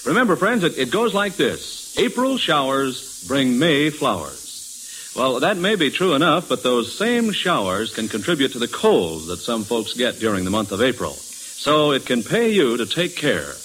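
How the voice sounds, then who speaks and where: Male 1940s radio pitchman, animated intonation, emphatic sponsor read over light old-recording hiss. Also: measured pace, low-quality recording. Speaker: radio announcer reading a sponsor message.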